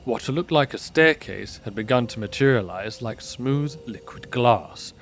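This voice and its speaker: A man's voice: medium pitch, a little slow. A man reading scripted story narration as the narrator of an audio drama.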